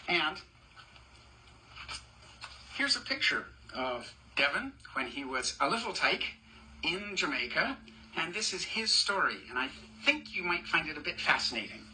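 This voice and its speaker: A man's voice: on the high side, sing-song, a little slow, pauses often, pushed and bright, a little hiss or hum, rough recording. An older man reading a picture book aloud to children, breaking off to chat, ask questions and point out the pictures. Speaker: storybook reader.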